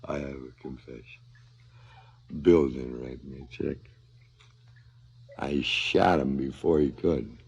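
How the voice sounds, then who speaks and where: An actor playing a cynical, philosophizing hired killer in a radio drama, sardonic and theatrical. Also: male voice, extremely low pitch, fairly even intonation, takes it slowly, vocal fry, long pauses, darkish tone, husky, exaggerated voice. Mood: dark confession. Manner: sardonic, theatrical, swinging from muttering to outbursts. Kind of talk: 1970s radio drama acting. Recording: slightly dull 1970s radio recording.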